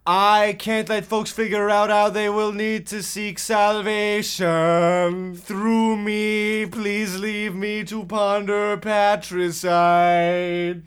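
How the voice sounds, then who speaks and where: Man playing an excitable high-voiced folksy boy. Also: drawn-out words, hardly any pauses, clear, resonant tone. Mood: earnest. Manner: earnest, gee-whiz exclamations. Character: excitable, folksy Depression-era boy sidekick. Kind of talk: hobby old-time radio parody acting.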